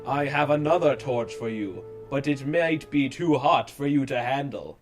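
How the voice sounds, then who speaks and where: A smug cartoon tomcat hero, voiced by a man, speaks with grand calm and total self-regard. Music runs clearly behind this storybook parody. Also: mid-range voice, normal speed, close to an everyday voice. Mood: smug seduction.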